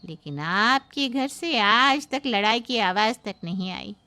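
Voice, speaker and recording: Woman as a high-voiced gossipy neighbour woman. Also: animated, takes it slowly, pushed and bright, full character voice. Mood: admiring. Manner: gossipy, complaining. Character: chatty, weary middle-aged neighbour woman. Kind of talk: cartoon moral-story voice acting.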